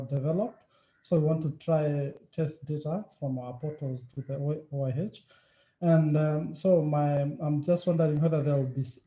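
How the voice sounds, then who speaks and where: A man's voice: a little high, dark tone. A man asking a question in an online meeting, hesitant with lots of restarts, in an echoey room. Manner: hesitant, many fillers and restarts. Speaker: meeting participant.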